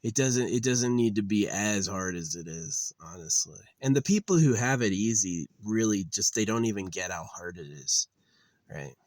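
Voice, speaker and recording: Weary low-voiced man rambling. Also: lively intonation, moderate pace, a little mellow, no echo. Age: 30s. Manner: musing, meandering, full of fillers and restarts; weary, resigned. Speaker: channel host. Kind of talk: rambling monologue.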